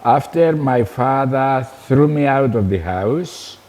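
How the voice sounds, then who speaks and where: A man's voice: mid-range voice, lively intonation, slow pace, darkish tone. Older man in a reverberant room. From Cyprus. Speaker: interview guest. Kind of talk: recalling life story in an interview. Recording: reverberant room with background noise.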